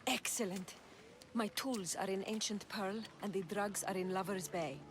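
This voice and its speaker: A woman playing a shrewd, composed healer in a video game, with a measured, assured manner. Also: medium pitch, close to an everyday voice. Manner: assured, measured, matter-of-fact. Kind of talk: video game cutscene acting.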